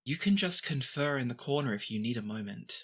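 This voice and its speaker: A woman voicing a formal, composed princess in a measured, courteous manner for a tabletop game. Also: very deep voice, lively intonation, slightly rough, heavily acted. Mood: polite, firm.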